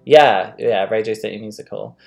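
A woman's voice: rumbling low, normal speed, gravelly, darkish tone. A woman hosting a casual live-stream chat, talking loosely with lots of fillers. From London. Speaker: host.

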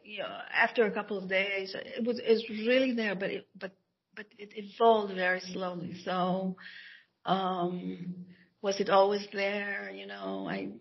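Husky woman, halting speech. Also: medium pitch, lo-fi sound. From Israel. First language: Hebrew. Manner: hesitant, many restarts and soft laughs. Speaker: guest writer and scholar. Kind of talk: interview, thoughtful casual talk.

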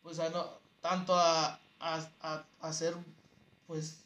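Man, Mexican accent, high pitch, relaxed, slangy, casual podcast chat. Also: slow pace. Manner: laid-back, slangy, frequent agreeing backchannels.

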